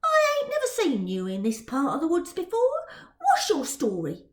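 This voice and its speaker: Woman acting a cocky Cockney boy pickpocket, swooping pitch. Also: huge pitch swings, normal speed, reverberant. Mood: friendly, cajoling. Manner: bragging, ungrammatical street slang. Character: cocky, street-smart young boy pickpocket, chatty Victorian rascal.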